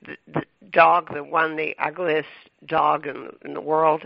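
A man hosts a radio interview in a somewhat high, gravelly voice. He stammers and restarts his questions and laughs often. The sound is dry and muffled. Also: little pitch movement, measured pace, no echo. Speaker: radio show host.

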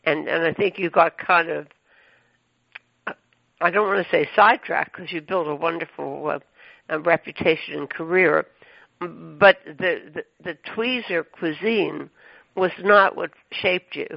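A man hosts a chatty radio interview, his voice gravelly and pitched a little high. He rambles effusively, looping through fillers and restarts. The sound is dry and dull, like a lo-fi broadcast. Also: unhurried, no echo. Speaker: radio show host.

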